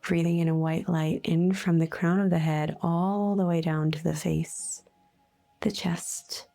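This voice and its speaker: Female meditation guide, very slow and soothing, slightly low pitch, breathy endings, soft music underneath. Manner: soothing, unhurried, gently instructive with long pauses. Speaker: guided meditation host, energy healer. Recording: soft music bed behind voice. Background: faint music.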